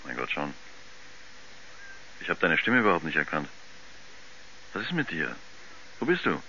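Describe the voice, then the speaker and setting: An actor playing an alarmed doctor, urgently questioning a friend in bewilderment in a 1970s radio drama. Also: male voice, very deep voice, very animated, moderate pace, sharp tone, slight background noise, exaggerated voice. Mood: bewildered, concerned. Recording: muffled old radio play with music.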